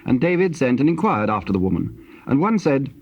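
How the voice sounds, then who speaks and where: A man with a British accent narrating scripture in a solemn, measured storytelling style. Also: medium pitch, very animated. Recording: dull, hissy old cassette tape.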